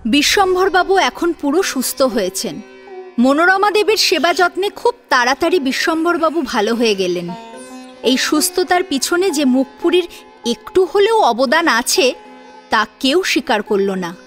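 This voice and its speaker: Female narrator for a family drama serial: high voice, very lively intonation, expressive scripted delivery. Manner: expressive storytelling with rhetorical questions. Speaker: narrator of an audio story serial. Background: soft sitar and plucked string music.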